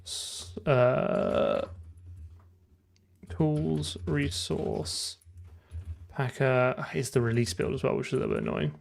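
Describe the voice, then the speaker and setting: A man's voice: mid-range voice, fairly even intonation, slow, gravelly, pauses often. A man thinking aloud in casual live coding commentary, muttering to himself and trailing off mid-thought. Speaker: programmer livestreaming game development.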